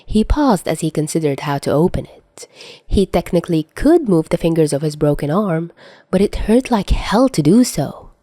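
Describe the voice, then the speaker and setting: A woman narrates a piece of fiction in a fairly low voice. The delivery is scripted storytelling, recorded dry with no room sound. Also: mellow, no echo. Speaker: podfic narrator.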